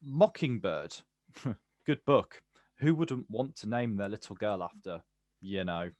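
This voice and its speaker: Animated, probing male poet. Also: lowish voice, slow pace, no echo. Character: famous male poet, watchful, probing, quietly wounded. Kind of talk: naturalistic stage play acting.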